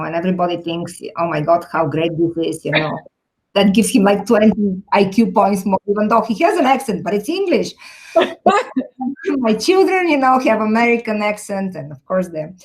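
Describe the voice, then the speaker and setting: A woman's voice: medium pitch, low-quality recording. A middle-aged woman with a Bulgarian accent answering interview questions conversationally, candid and self-deprecating, telling anecdotes as a technology executive.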